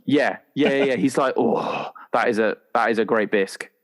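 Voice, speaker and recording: A man's voice: medium pitch, moderate pace. A man chatting casually and playfully as a roleplaying player, sometimes slipping into imagined dialogue. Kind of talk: casual chat, inventing a character.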